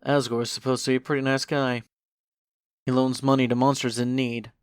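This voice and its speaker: Guy doing a lazy chill skeleton dude for a fan audio drama, slangy and unhurried, dry close sound. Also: mid-range voice, flat delivery, smooth, no room sound, natural voice. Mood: laid-back, amiable. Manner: casual, unhurried, dropped words and slang. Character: lazy, laid-back skeleton brother who makes puns.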